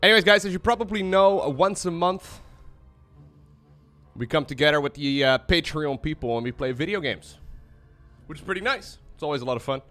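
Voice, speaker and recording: A man's voice: a little high, a little fast, faint music behind. A young man whose first language is Dutch, hosting a casual livestream chat from a studio mic in a small room at home. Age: young adult. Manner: lively, laughs often. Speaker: streamer host. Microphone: studio mic with headphones.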